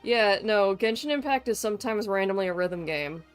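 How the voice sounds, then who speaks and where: A woman's voice: mid-range voice, expressive, normal speed, clean tone, faint music behind. A young woman livestreaming with casual, irreverent game commentary, sarcastic and sweary.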